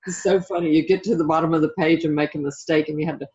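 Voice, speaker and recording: A woman's voice: sits low, fairly even intonation, fast, darkish tone, husky, lo-fi sound. A middle-aged American woman from the South of the United States talking reflectively about her own life as an interview guest. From southern United States (Florida).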